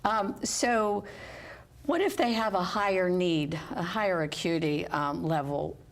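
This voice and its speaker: A woman's voice: fairly low pitch, unhurried. An American woman from West Virginia hosting a talk show in a conversational interviewing style. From West Virginia, United States.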